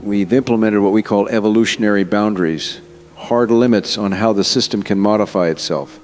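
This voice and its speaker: A man's voice: fairly low pitch, a little fast, a little mellow, slight background noise, close to an everyday voice. A man playing a confident, earnest scientist being interviewed in an audio drama, explaining himself in an assured, persuasive way. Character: male scientist, confident, earnest architect of AI system. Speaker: interviewed expert.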